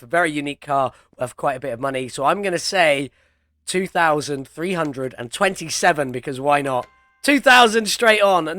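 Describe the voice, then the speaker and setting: A young man chats through his gameplay step by step, sounding a little under the weather from a chest cold. His voice sits fairly high and has a bright, forward ring. The recording is close and dry, with no room sound around him. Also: no echo. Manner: chatty, talks himself through each step. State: ill with a chest infection and cold. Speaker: gameplay commentator. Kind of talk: casual gameplay commentary.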